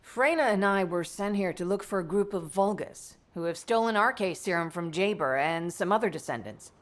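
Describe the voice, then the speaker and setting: An actress playing a composed, scholarly female doctor and mother in a video game, speaking in a measured, articulate, matter-of-fact way. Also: fairly low pitch, lively intonation, close to an everyday voice. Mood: composed, analytical. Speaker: medical doctor character. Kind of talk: scripted game dialogue, explanatory briefing.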